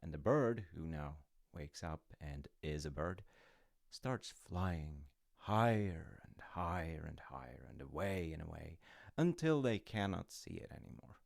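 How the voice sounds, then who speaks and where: A very low male voice with a creaky, gravelly edge, close and dry. A man plays an easygoing middle-aged dad in an audio roleplay, telling a bedtime folktale with wry, casual asides and no hurry at all. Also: very animated, dry recording, heavily acted. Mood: wry storytelling. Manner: unhurried, musing, gently wry. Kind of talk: intimate roleplay storytelling with casual rambling asides.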